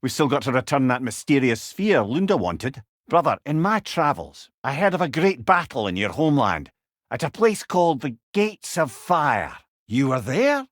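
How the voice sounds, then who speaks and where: A wise old Scottish man serves as a video game companion and storyteller. His delivery is eloquent and wry, with a lilting, very animated pitch. The recording is close and dry, with no room sound. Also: moderate pace, no echo. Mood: earnest, informative. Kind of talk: video game character dialogue.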